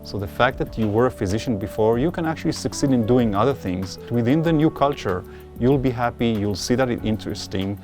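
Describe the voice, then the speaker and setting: A man's voice: mid-range voice, animated, a little fast, darkish tone, faint music behind. A man speaking earnestly as a mentor in a documentary interview, giving emphatic advice. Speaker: physician-scientist mentor.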